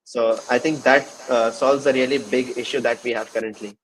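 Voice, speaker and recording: A man hosting a casual livestream interview, speaking in halting phrases with repeated fillers. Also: mid-range voice, fairly even intonation, a little hiss or hum, poor audio. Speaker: host of a developer livestream session.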